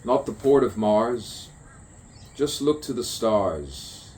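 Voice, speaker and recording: A man's voice: on the low side, animated, takes it slowly, a little mellow, slight background noise. A man delivering a stream-of-consciousness spoken poem, musing and repeating rhyming phrases. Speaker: poet.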